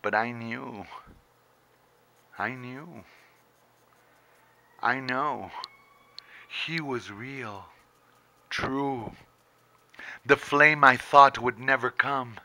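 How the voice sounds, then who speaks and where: A middle-aged man reciting his own poetry as dramatic spoken word, intense and theatrical, with long pauses and emphatic bursts, close to a camera indoors. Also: medium pitch, sharp tone. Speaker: poet reading own poem. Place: indoors, small book-lined room. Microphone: handheld camera very close to face.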